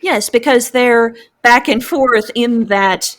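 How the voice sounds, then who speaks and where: A woman speaking as a guest fan writer in an enthusiastic discussion, at a measured pace. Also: a little high, a bit bright.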